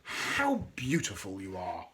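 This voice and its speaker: Man voicing a slow, courteous storybook hermit crab. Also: low voice, wildly swooping pitch, sharp tone, slight background noise, voice pushed down, heavily acted, rough recording. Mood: admiring, hopeful. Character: polite, admiring male hermit crab, earnest and gentle.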